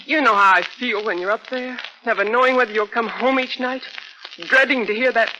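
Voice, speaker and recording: An actress playing a loving, anxious young wife with a pleading manner in 1940s radio drama. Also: female voice, on the high side, steady tone, a little fast, bright, forceful tone. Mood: fearful, pleading. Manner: pleading, breathless worry. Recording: noisy, crackly 1940 radio recording.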